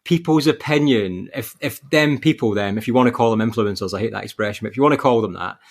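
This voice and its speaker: A man's voice: lowish voice, quick pace. Chatty man, casual podcast host. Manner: chatty, anecdotal.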